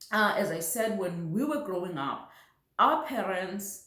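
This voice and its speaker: A middle-aged woman with a South African accent talks casually and reflectively to camera, explaining things like a parenting vlogger. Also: lowish voice. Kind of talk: casual personal talk to camera. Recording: roomy, echoey home recording.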